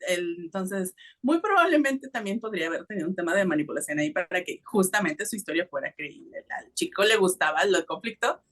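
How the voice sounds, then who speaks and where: A woman's voice: on the low side, animated, brisk, hardly any pauses, a bit bright. A woman with a Mexican accent hosting a podcast, telling a story in a casual, colloquial and explanatory way. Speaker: podcast host.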